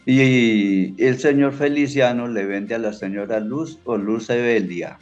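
An older man from Colombia, a farmer, telling his story slowly and hesitantly with many restarts. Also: mid-range voice, darkish tone, dull audio, faint music behind. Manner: slow, hesitant, plain-spoken, with many restarts. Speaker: interviewee, evicted farmer. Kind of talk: halting personal account. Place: small indoor room.

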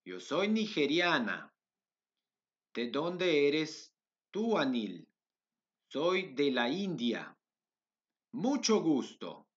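A young man teaching a Spanish lesson over a webcam, drilling phrases again and again and switching into halting English. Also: on the high side, very animated, dull audio. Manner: slow, repetitive drilling of phrases. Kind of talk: online language lesson, mixing Spanish and English.

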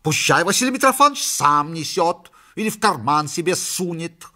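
A man's voice: a little high, sing-song, moderate pace, pushed and bright, voice pushed up, exaggerated voice. A man voicing a prim, indignant woman who huffs and complains in a comic story reading. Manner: huffy, complaining. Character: indignant prim woman companion, scolding and embarrassed.

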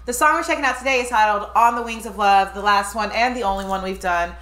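A woman's voice: medium pitch, quick pace. Chatty young woman in an echoey room. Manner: warm, gushing, thoughtful. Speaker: reaction channel co-host. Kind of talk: casual music reaction chat. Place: home room with framed music posters. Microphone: over-ear headphones, fixed desk camera.

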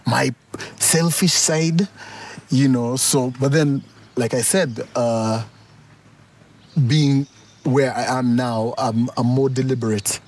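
A man's voice: medium pitch, fairly even intonation, slow pace, pauses often, a little mellow, slight background noise. Ugandan man talking thoughtfully outdoors. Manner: reflective, with frequent restarts and chuckles. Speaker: musician and guest of a music talk show. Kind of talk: relaxed conversational interview. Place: outdoors on a golf course.

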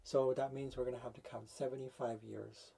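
A man's voice: mid-range voice, flat delivery, a little fast, gravelly, warm tone, breathy edge. A man in his forties talking casually to camera about astrology, thinking aloud and restarting as he works out sums. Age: 40s. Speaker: astrology video host. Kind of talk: casual explanatory talk to camera. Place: small room with foam panels, indoors.

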